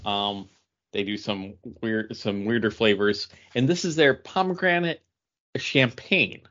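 A man's voice: on the low side, a little slow, fairly bright tone, muffled recording. A man co-hosting a podcast in casual chat, rambling through tentative asides. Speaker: podcast co-host.

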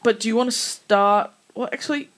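A woman's voice: on the high side, fairly quick, a bit bright. A woman hosting a casual music radio show, chatty and giggly, often sidetracked.

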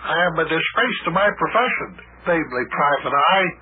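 A man's voice: fairly high pitch, expressive, brisk, narrow, tinny audio, lightly acted. A man acting a grumbling, self-pitying cab driver turned private eye in an old-time radio comedy, lamenting in a melodramatic way. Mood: woeful. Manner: woeful, melodramatic, repetitive lamenting. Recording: thin, noisy old radio recording.

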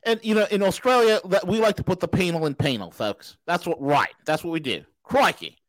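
High-voiced man ranting on a podcast. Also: animated, brisk, dry recording. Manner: loud, profane, mocking rants. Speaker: podcast host. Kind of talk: casual talk show banter.

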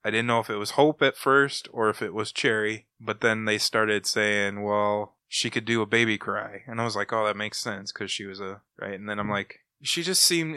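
A man's voice: on the low side, pushed and bright, clear, resonant tone, no room sound, studio quality. A man chatting casually as a podcast co-host. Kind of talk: casual chat.

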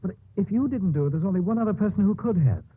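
An actor playing a devoted, anguished lover in a 1950s radio melodrama. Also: male voice, high-pitched, animated, quick pace, slightly rough. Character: devoted, anguished male lover of a condemned woman. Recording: muffled old 1950s radio broadcast.

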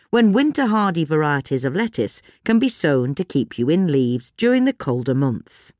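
A British woman narrates a written gardening piece in a low voice. Her pitch moves in a lively way, and the sound is dry, with very little room. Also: dry recording. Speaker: magazine article reader. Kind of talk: scripted narration of a gardening article.

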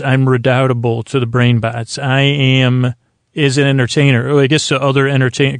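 A man with a creaky voice hosting a sleep podcast, talking in a meandering, halting, whimsical monologue that circles and over-describes. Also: mid-range voice, no echo. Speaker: podcast host. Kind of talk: rambling bedtime monologue to help listeners sleep.

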